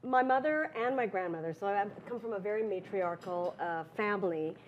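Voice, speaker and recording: A middle-aged woman from Trinidad speaks as a panelist, reflective and pausing often. The recording sounds slightly muffled, with faint background noise. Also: mid-range voice. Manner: reflective, hesitant fillers. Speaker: architect, panelist. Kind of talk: personal storytelling on a panel.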